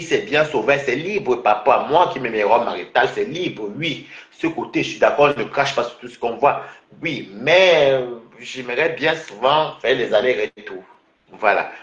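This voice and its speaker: A man's voice: mid-range voice, animated, moderate pace, a bit bright, reverberant, muffled recording. A man from Cameroon in a casual, rambling personal monologue, full of restarts and fillers. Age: adult.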